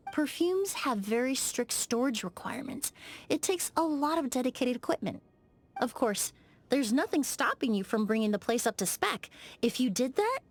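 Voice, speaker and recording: An actress playing a polite, precise young woman perfumer in a video game, speaking in a measured, articulate and frank way. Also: high-pitched, exaggerated voice. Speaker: game character voice actor. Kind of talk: scripted video game dialogue.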